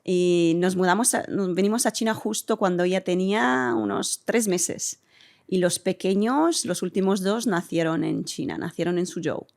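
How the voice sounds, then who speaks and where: A woman from Italy, a guest in an interview, answering thoughtfully and pausing with hums as she searches for words. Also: medium pitch, gravelly, darkish tone. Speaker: interview guest, community center director.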